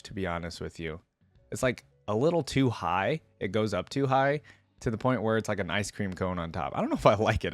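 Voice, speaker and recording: Guy reacting casually, kinda low voice, gamer slang, really dry sound no room echo. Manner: informal, filler-heavy gamer slang. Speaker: host reacting to game content.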